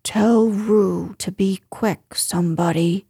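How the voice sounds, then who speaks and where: A woman voicing a gloomy, self-pitying old male donkey from a children's storybook, grumbling slowly and with resignation. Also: mid-range voice, fairly even intonation, vocal fry, warm tone, breathy edge, dry recording, clean studio sound, heavily acted. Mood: gloomy, resigned.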